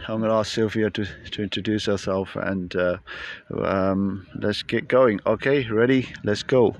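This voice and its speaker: A man's voice: fairly low pitch, no room sound. A British man hosting a podcast, chatting casually outdoors with plenty of hesitations and fillers. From Britain. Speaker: podcast host. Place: outdoors in a busy public spot.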